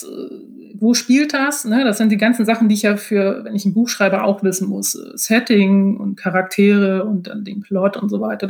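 A woman's voice: mid-range voice, darkish tone. Woman explaining things in an informal interview. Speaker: podcast guest, author.

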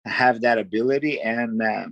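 A man who grew up in Sweden, a guest fighter answering interview questions in a halting, thoughtful way. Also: mid-range voice, a bit bright, dry recording, highs cut off. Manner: halting, restarts often, thoughtful.

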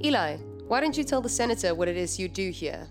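A woman acting in an audio drama as a composed, businesslike project leader, speaking in a measured, formal, precise way. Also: medium pitch, very animated, soft background music. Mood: polite. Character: composed, businesslike female project leader of a time-travel team.

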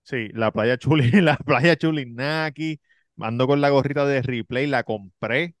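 A man's voice: mid-range voice, measured pace, dry recording, clean studio sound. A man with a Puerto Rican accent, a podcast host teasing his co-hosts in casual joking banter. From Puerto Rico. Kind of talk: casual chat.

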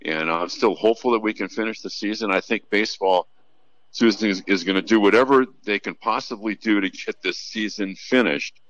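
A man's voice: fairly low pitch, fairly quick, fairly bright tone, dry recording, muffled recording. A man who works as a radio play-by-play announcer, speaking earnestly as a guest in a conversational sports interview.

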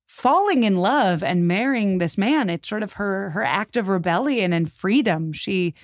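A woman's voice: medium pitch, lively intonation, measured pace, no room sound, clean studio sound. A woman guest on a podcast talking history in an informal, emphatic storytelling way. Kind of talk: conversational history discussion.

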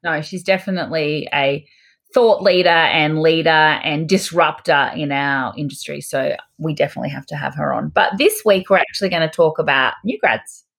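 A woman's voice: sits low, moderate pace, gravelly, a bit bright. An Australian woman chatting casually as a podcast co-host. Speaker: podcast co-host, dental hygienist and educator.

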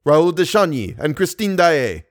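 A man playing a stern man who forcefully accuses and questions a murderer, his voice raised above the narration. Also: a little high, very animated, measured pace, no echo, studio quality, voice pushed up. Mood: accusing.

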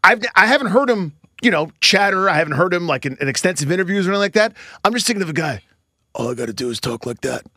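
A man's voice: sits high, expressive, fast, bright, forceful tone, no echo, clean studio sound. A man co-hosting a sports podcast with casual, wry, riffing banter. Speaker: podcast co-host.

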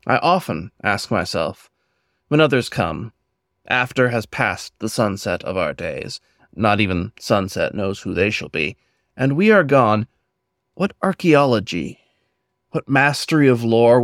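A man with a medium-pitched voice gives a dramatic poetry recitation. His delivery is earnest and measured, with careful pauses between phrases. The sound is close and dry, with very little room. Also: a bit bright, dry recording. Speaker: poet and podcast host reading own poem.

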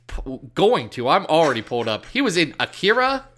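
A man's voice: a little high, sing-song, fairly quick, fairly bright tone. A man from the American Midwest co-hosting a podcast, with dry, teasing jokes in casual chat. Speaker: podcast co-host.

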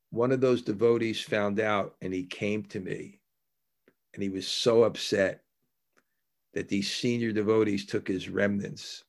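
Male spiritual teacher, gravelly fry in the voice, reflective and halting, conversational storytelling delivery for a devotional discourse. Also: lowish voice. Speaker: devotional teacher giving a religious talk. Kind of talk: anecdotal spiritual discourse, storytelling between scripture readings.

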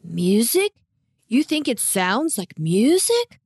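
A sweet, mopey farm hound from a storybook, played by a woman. He is bashful and apologetic, talking in a slow, folksy way with some creak in the voice. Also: mid-range voice, animated, no room sound, clean studio sound. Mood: surprised, hopeful. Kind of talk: children's storybook character voice.

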